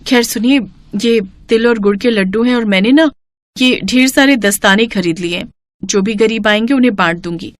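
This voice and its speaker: A woman voicing a humble, gentle young wife in a story, speaking sincerely. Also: on the high side, normal speed, no echo, close to an everyday voice. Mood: gentle, earnest.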